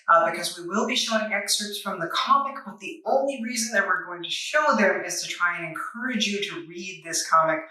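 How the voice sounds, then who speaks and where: A woman's voice: mid-range voice, bright, forceful tone. A woman hosting a review video, narrating from a loose script in a wry, dryly humorous way. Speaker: comic book reviewer, video host. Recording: echoey room, distant microphone.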